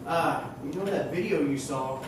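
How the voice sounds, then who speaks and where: A stage actor playing a smooth-talking, scheming circus magician in a theatrical play. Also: mid-range voice, normal speed, close to an everyday voice. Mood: evasive. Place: indoor theater stage, distant mic. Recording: echoey theater stage from far off, faint noise.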